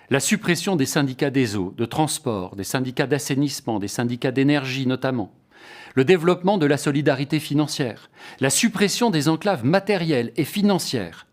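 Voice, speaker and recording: A man delivering a scripted explanatory lecture in a formal, didactic way, stressing each point as he lists it. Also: mid-range voice. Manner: formal, didactic, emphatic enumeration.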